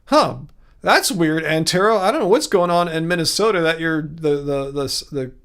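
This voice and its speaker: A man's voice: a little high, normal speed, a bit bright, smooth. A man hosting a livestream, chatting casually and rambling as he reads and answers viewer comments, with frequent restarts and chuckles. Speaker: livestream host.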